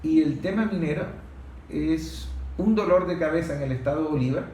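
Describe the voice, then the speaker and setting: Man, Venezuelan accent, slightly high voice, show host, conversational commentary, echoey room. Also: normal speed, dark tone. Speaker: host of an environmental discussion show. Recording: echoey room, background noise.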